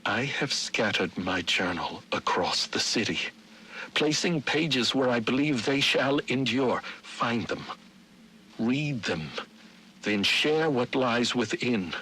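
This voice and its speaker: Theatrical Victorian gentleman diarist, faint noise. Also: mid-range voice, animated, slow, low-quality recording. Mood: solemn. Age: middle-aged. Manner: formal, archaic, dramatic diction. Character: Victorian gentleman city founder, solemn, theatrical, scheming diarist. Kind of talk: dramatic journal narration in a video game.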